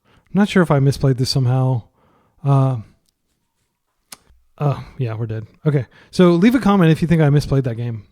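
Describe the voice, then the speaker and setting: A man's voice: mid-range voice, animated, normal speed, long pauses, warm tone. A young man giving casual, thinking-aloud commentary on a card game he is playing. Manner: chatty, thinking aloud with fillers. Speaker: gaming commentator.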